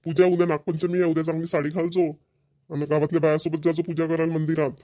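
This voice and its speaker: Male voice, man acting as put-upon cartoon village man, rural Vidarbha Marathi accent, rapid colloquial speech, dry recording. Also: fairly high pitch, little pitch movement, dark tone, no room sound, natural voice. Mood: pleading. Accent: Maharashtrian, rural Vidarbha Marathi. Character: village men, dutiful sons and put-upon husbands. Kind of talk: cartoon comedy dialogue.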